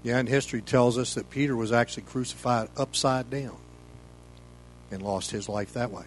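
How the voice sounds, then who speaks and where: A man's voice: medium pitch, steady tone, moderate pace, slight background noise. Folksy American man teaching like a pastor. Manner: folksy, rambling, peppered with "you know". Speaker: pastor leading a Bible study. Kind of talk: informal sermon, conversational teaching.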